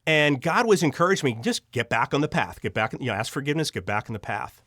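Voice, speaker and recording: An earnest man with a medium-pitched voice talks as a guest in an interview, telling stories conversationally. He halts and doubles back on his words as he goes. The sound is close and dry, with no room around it. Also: lively intonation, fast, a bit bright, no echo. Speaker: audio drama writer and producer, interview guest.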